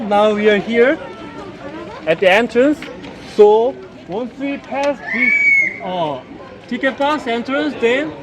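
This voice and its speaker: Male tour guide, Korean accent, notably high voice, halting but instructive delivery, busy outdoor crowd behind. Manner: halting, instructive, often checks understanding. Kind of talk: explaining a route to tourists. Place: outdoors at a busy tourist site. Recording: crowd chatter, outdoor live capture. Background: crowd noise.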